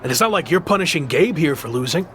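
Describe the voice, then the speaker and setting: Cocky young male wrestler, higher voice. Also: expressive, fast talker, a bit bright, slight background noise. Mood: pleading, determined. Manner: assertive and argumentative. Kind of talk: scripted video game cutscene acting.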